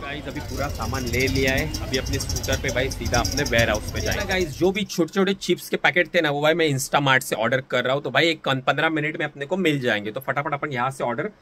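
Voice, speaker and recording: Young male vlogger, mid-pitched, chatty phone-at-arm's-length selfie talk. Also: quick pace, few pauses, sharp tone, a little hiss or hum. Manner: chatty, says "bhai" constantly. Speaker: vlogger and online seller. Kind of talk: casual vlog talk to camera. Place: small indoor office room, also outdoors on a street at night. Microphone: phone held at arm's length.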